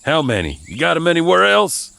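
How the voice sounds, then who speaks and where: A man's voice: a little high, big pitch swings, a little fast, vocal fry, bright, forceful tone, voice pushed up, exaggerated voice. A man voicing a kindly, sure old American country grandfather in a storybook tale, terse and confiding. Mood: gruff, playful.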